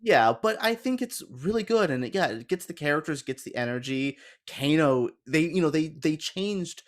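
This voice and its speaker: A man's voice: fairly high pitch, expressive, normal speed. Enthusiastic man chatting casually about films. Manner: enthusiastic, informal fan talk. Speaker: guest panelist on a gaming chat show. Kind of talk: casual film chat.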